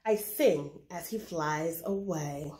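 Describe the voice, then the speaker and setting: A woman's voice: low voice, slow pace, mellow. A woman reading a story aloud for children and hosting in an instructional, storytelling style. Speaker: story reader and craft host.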